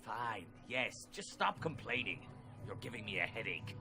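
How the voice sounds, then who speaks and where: A man's voice: lowish voice, sing-song, normal speed. A man playing a greedy, irritable merchant in a video game, blustering and indignant as he haggles. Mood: indignant, irritated. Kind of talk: video game cutscene acting.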